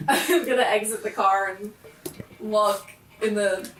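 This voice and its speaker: A woman's voice: medium pitch, unhurried, far from the mic, background noise, natural voice. A woman playing a blunt, teasing schoolgirl in a casual tabletop roleplaying game. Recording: roomy, slightly off-mic.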